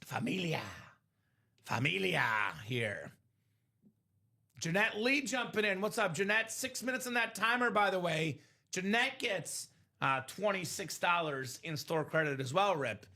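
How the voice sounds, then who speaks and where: High, lively, bright-voiced man hosting. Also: measured pace, gravelly. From Bulgaria. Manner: upbeat, joking, shouts out buyers by name. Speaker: livestream sports card break host. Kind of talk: casual live-stream sales chat.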